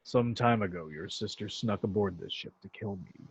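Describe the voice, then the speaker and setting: A man playing a calm, menacing alien warlord and father in an amateur script read, speaking in a measured, patronizing way. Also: on the low side, gravelly, slightly rough, full character voice. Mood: insistent, cold.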